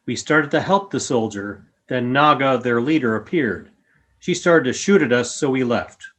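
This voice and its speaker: A man playing a calm, grave alien creature in a radio play, with a formal, measured way of speaking. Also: mid-range voice. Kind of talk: fan radio play acting.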